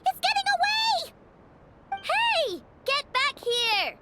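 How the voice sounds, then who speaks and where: A squeaky, emphatic young inventor girl from a video game. Her voice is extremely high and bright, and it swings widely in pitch. She comes across as feisty and fiercely protective. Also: big pitch swings, takes it slowly, heavily acted. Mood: alarmed, urgent. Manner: emphatic, exclamatory. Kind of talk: animated video game voice acting.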